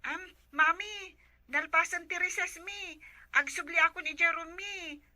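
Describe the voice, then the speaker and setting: A woman's voice: very high-pitched, measured pace, bright, forceful tone, exaggerated voice. A woman with an Ilocano accent voicing a whiny schoolchild daughter in a comedy skit. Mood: offhand.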